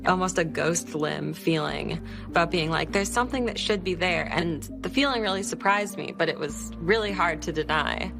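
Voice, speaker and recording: A woman with a low, gravelly voice speaks earnestly and reflectively about her own experience. Music plays clearly underneath. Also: normal speed. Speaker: interviewee. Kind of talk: personal testimony.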